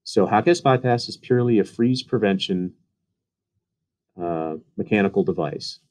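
Man, low voice, mellow tone, casual explainer, voice-over. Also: normal speed. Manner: casual, uses fillers. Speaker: HVAC instructor. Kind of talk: explaining slides. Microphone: voice-over on slides.

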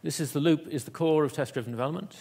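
A man giving a conference keynote in an auditorium, talking in a halting way with many fillers and restarts. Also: fairly high pitch, gravelly, a little mellow, breathy edge. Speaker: keynote speaker.

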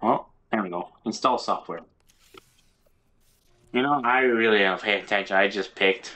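A man's voice: on the low side, normal speed, bright, forceful tone, slight background noise. A man chatting casually with friends while playing a video game, rambling and narrating his moves as he goes. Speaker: gamer. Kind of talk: casual gaming chat.

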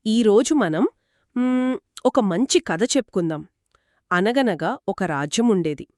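A woman's voice: mid-range voice, lively intonation, measured pace, darkish tone, dry recording, studio quality. A woman co-narrating a children's moral story in a conversational storytelling style. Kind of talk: conversational two-person storytelling.

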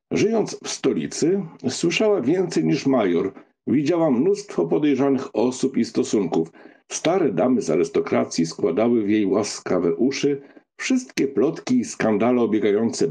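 A man reading a literary novel as an audiobook narrator, with an expressive storytelling delivery. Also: mid-range voice, unhurried, husky.

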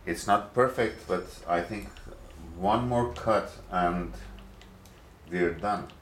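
A man giving a casual workshop demonstration, talking in a relaxed, halting way with many filler pauses, recorded on a camera mic in a home workshop. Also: low-pitched. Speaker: hobbyist machinist. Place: home workshop with running lathe. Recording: loud machine noise behind.

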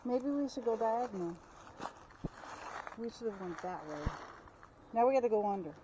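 A woman's voice: on the high side, expressive, normal speed. A woman talking casually outdoors in a steep forest, naming plants and giving practical directions while carrying a log. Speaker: partner helping on the trail. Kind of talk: casual chat while working. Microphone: camera worn by another person. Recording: outdoor camera mic with loud noise.

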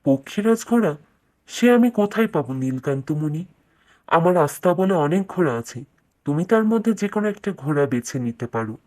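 Woman voicing a low-pitched regal fairytale king. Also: expressive, a little fast, darkish tone. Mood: surprised. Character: proud adult male king of a fairytale kingdom. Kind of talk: children's fairytale cartoon dialogue.

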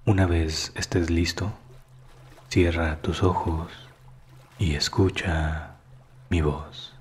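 A man narrating a scripted guided meditation in a calm, soothing manner that is hushed at times. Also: low-pitched, takes it slowly, a little hiss or hum.

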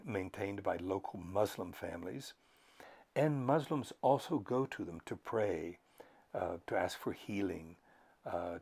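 An older man with a very low, gravelly voice and a husky edge. He lectures to the camera like a professor, measured and thoughtful, pausing often. He is recorded close-up on a lapel mic. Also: darkish tone. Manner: measured, thoughtful, frequent pauses and fillers. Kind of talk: unscripted educational talk to camera.

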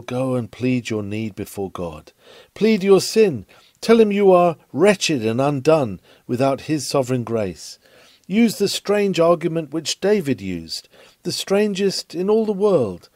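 A man's voice: mid-range voice, a little mellow, no room sound, studio quality. A man reading an old sermon aloud in an earnest preaching delivery, with dramatic swells of emphasis. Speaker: reader of a historical sermon.

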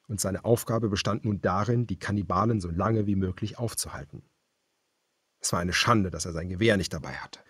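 A male audiobook narrator reads scripted thriller prose. His voice is fairly low and husky, grave and measured, in a dry, close recording. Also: no echo.

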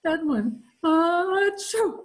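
A woman's voice: very high voice, lively intonation, very slow, heavily acted. A middle-aged woman playing a plaintive, miserable wife with allergies in old-time radio comedy style. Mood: pleading. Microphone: video call, close to screen.

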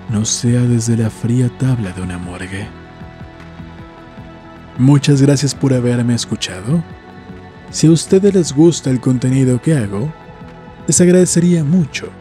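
Dark-voiced man narrating scary stories. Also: mid-range voice. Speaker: horror story narrator and channel host. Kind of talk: scripted dramatic narration. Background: music.

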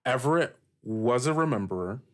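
A man's voice: medium pitch, lively intonation, moderate pace. A man hosting a tabletop roleplaying game as game master, improvising descriptive narration and putting questions to the player.

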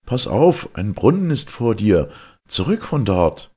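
A man's voice: fairly low pitch, big pitch swings, unhurried, a little mellow, husky, pitched above the performer's own voice, heavily acted. A man voicing a poor, henpecked woodcutter husband who pleads and placates in a folktale reading. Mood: urgent. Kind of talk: storybook character voice in a folktale reading.

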